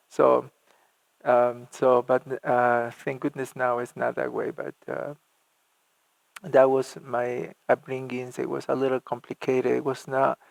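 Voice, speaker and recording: A middle-aged man from Argentina, a church music minister telling his life story in an interview, halting with many fillers and restarts. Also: medium pitch, creaky, no room sound, low-quality recording.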